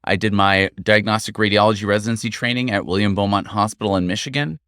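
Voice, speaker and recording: A middle-aged American man, a guest doctor, talking through his career in a measured, articulate way. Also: on the low side, steady tone, fairly bright tone, clear, resonant tone, no echo, clean studio sound. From United States. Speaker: guest interventional radiologist. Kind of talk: conversational interview. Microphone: studio microphone.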